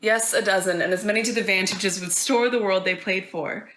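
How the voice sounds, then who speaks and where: A woman's voice: mid-range voice, brisk, a bit bright, a little hiss or hum, close to an everyday voice, poor audio. A woman acting a worldly, defiant wife in a Shakespearean stage monologue, arguing in a pointed, questioning way. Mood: indignant, frank.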